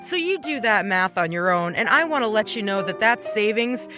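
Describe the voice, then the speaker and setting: A woman hosting a radio show, telling a comic anecdote in an animated, conversational way. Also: medium pitch, moderate pace. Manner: animated, conversational, acts out dialogue. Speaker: radio morning show host. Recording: music bed under the voice. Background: music.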